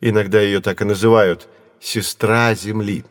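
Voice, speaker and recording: Patient professor played by a male actor, low register, measured teaching delivery, dry close sound, educational audio drama. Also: level tone, no echo, lightly acted. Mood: instructive. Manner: explanatory, measured, didactic. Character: male astronomy professor, patient, knowledgeable teacher of curious children.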